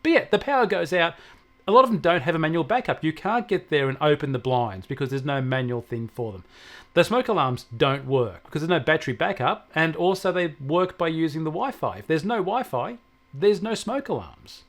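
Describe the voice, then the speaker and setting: Tech co-host, male, slightly high voice, explanatory and anecdotal in casual discussion. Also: expressive, a little fast, fairly bright tone. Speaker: technology expert co-host.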